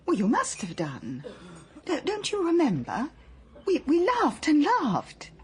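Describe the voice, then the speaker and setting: An actress playing an older wife left at home, speaking in a hesitant, halting, often hushed way. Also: female voice, high-pitched, huge pitch swings, slight background noise, full character voice. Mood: wistful. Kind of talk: radio drama acting.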